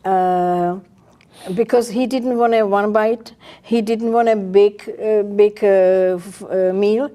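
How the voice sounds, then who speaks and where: An older woman from the Czech Republic with a Czech accent, a guest chef speaking in a casual interview, halting and searching for words. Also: medium pitch, fairly even intonation, dark tone.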